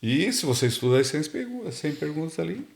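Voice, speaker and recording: A man's voice: a little high, very animated, fairly quick, creaky. A Brazilian man, a podcast guest, telling his story in casual, rambling talk and repeating words as he searches for them. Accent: Brazilian Portuguese.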